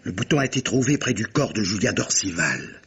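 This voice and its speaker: An actor playing a courteous, gallant army captain in a vintage French radio mystery drama, formal and husky. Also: male voice, on the low side, expressive, brisk, fairly bright tone, slight background noise. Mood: grave, pleading.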